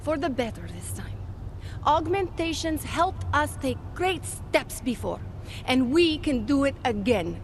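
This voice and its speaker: A high-pitched, very animated female corporate recruiter in a video game. She is an idealistic true believer who pitches with fervent, polished conviction. Light ambient noise sits behind her. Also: a little slow, heavily acted. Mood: earnest, inspiring. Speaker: company representative. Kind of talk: video game dialogue acting.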